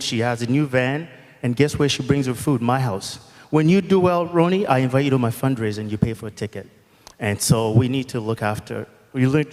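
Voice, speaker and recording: A man from Uganda, giving a passionate, outspoken, unplanned speech as a guest speaker at an event. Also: medium pitch. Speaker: guest speaker, foundation founder. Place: convention hall. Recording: long hall reverb.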